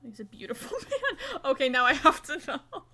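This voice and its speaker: A woman's voice: sits high, sing-song, moderate pace, sharp tone. A young woman streaming live, chatting casually and self-mockingly while she crochets, swearing now and then.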